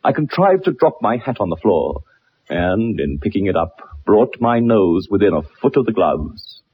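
An actor playing a keen, self-assured gentleman detective with precise, formal speech in a 1960s radio drama. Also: fairly low pitch, sing-song, normal speed, slightly rough. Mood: measured, narrating. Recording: muffled old radio recording.

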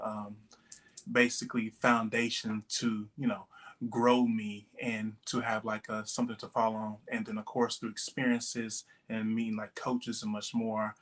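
A man in his late twenties from Texas, a podcast guest talking in a relaxed, rambling way with many fillers. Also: on the low side. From Texas, USA. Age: 20s. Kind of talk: casual interview talk.

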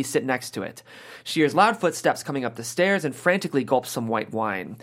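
Casting: male script narrator, lively varied intonation, medium pitch. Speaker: narrator reading stage directions. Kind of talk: scripted narration at a table read.

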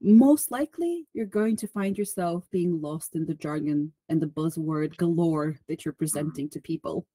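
A woman's voice: low-pitched, moderate pace, hardly any pauses, warm tone, husky, no room sound. A woman from Bulgaria, a guest on a podcast, talking in a chatty, self-deprecating way with many restarts and fillers. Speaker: podcast guest, solutions engineer. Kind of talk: conversational interview.

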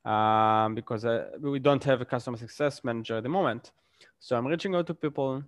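A man's voice: medium pitch, a little fast, no room sound. A man, a guest in a casual interview, explains his points with examples and often checks in with "right?".

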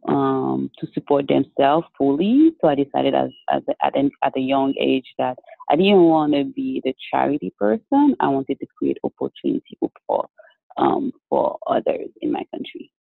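A man from Haiti, answering interview questions in a measured, explanatory way with frequent restarts. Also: mid-range voice, animated, gravelly, no echo. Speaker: guest entrepreneur. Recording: dry, tinny phone-like line.

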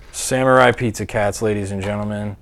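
A man's voice: fairly low pitch, noisy background. A man hosting a live stream in casual chat, joking loosely and swearing freely. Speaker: show host. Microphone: desk mic, shared setup.

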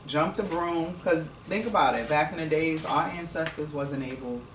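A young man hosts a podcast, chatty and clear as he asks questions and shares his views. He sounds some distance from the mic in an echoey room. A clear hiss sits under his voice. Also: a little high, normal speed. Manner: clear-spoken, talkative interviewer. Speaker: podcast host. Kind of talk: casual chat.

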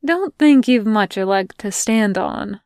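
A woman voicing a steady, caring young woman in an audio fanfic reading, speaking calmly and plainly. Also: mid-range voice, unhurried, dry recording, studio quality. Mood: wry. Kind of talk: podfic character voice.

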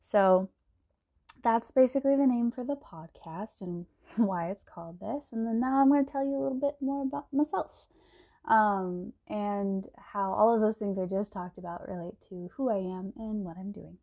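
A woman's voice: mid-range voice, moderate pace, phone-quality sound. A young woman from Texas in her twenties hosts her own podcast, talking casually about herself with frequent laughs and ums. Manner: chatty, laughs often, many ums. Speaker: podcast host. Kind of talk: casual solo podcast monologue.

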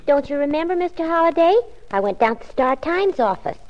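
Scatterbrained young female secretary, high-pitched, naive and chatty, old-time radio style, slightly dull recording. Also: animated, brisk. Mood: earnest. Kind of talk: 1940s radio comedy-drama acting.

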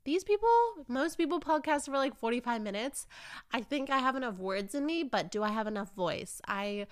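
A woman's voice: a little high, lively intonation, normal speed. Slightly nasal young woman, chatting casually. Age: early twenties. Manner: informal and effusive, peppered with "like" and "you know". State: getting over a cold, raw throat. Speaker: podcast host. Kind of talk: casual, chatty solo podcast monologue.

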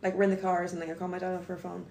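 A woman's voice: fairly low pitch, completely flat delivery, rapid-fire, gravelly, darkish tone. A young adult woman co-hosting a podcast, chatting casually with a dramatic, self-mocking way of telling things. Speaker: podcast co-host. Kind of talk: casual chat. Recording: roomy echo with faint noise.

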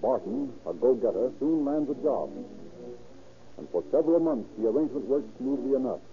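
A man's voice: mid-range voice, expressive, a little fast. A man narrating a 1930s radio drama in a formal, dramatic storytelling manner. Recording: tinny old radio recording.

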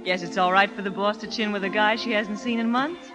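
An actress playing a brisk, self-assured, dryly teasing cabaret boss in a 1930s radio drama. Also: female voice, mid-range voice, steady tone, close to an everyday voice. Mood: teasing, concerned. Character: wry, brisk woman cabaret boss running a numbers racket. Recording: vintage 1930s radio recording, music behind. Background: music.

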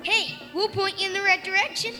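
A woman's voice: very high-pitched, fairly even intonation, fairly quick, music in the background. A woman voicing a brash, streetwise young urchin hustler with slangy, cocky patter in an audio comedy. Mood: streetwise, brisk. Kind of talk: live audio comedy acting.

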